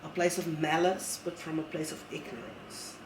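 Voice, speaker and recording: An earnest man with a high voice talks reflectively, stumbling over restarts as he thinks. He sounds far from the mic in an echoing room, with a faint hiss underneath. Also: normal speed. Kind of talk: casual conversation. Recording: roomy sound from a distant mic.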